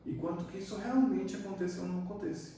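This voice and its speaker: A man from Argentina, a psychiatrist guest talking in a relaxed, reflective way with many fillers. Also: sits high, fast, slight background noise. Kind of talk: casual interview chat. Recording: echoey room, distant mic.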